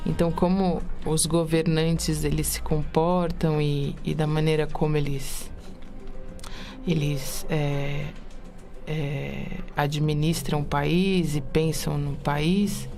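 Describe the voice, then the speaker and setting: A woman's voice: low-pitched, flat delivery, slow, dark tone, faint music behind. A woman singer-songwriter answering interview questions on the radio in a thoughtful, hesitant way with many fillers.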